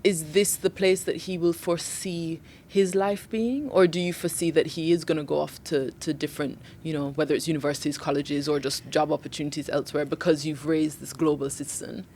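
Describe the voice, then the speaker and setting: A woman hosting a conversational interview, asking relaxed questions and affirming her guest often. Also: deep voice, brisk, hardly any pauses, a little hiss or hum. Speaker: interview host.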